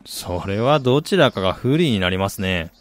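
A man's voice: lowish voice, animated, moderate pace, close to an everyday voice. An actor playing a reflective, polite soccer fan who also narrates his own story in a radio drama. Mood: reserved. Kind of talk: Japanese radio drama acting with first-person narration.

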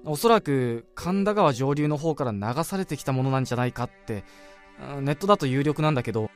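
A man acting an excitable, gossipy office colleague in a Japanese radio drama, informal and easily exasperated. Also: mid-range voice, a little fast, soft background music, close to an everyday voice. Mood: eerie, explaining. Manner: animated, exasperated, informal storytelling. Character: excitable, gossipy male office colleague relishing an eerie rumour.

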